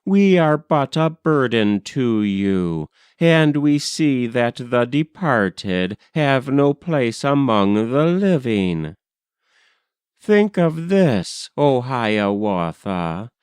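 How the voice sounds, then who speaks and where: A man voicing gentle, mournful ghosts of the dead in a grave, incantatory verse recitation. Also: mid-range voice, sing-song, drawn-out words, smooth, no echo. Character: gentle, mournful ghosts of the departed, solemnly counseling.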